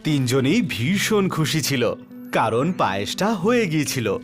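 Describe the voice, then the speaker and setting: A man reads a children's tale slowly in a fairly high voice. His storytelling is animated in pitch, with faint music underneath. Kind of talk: scripted children's story narration.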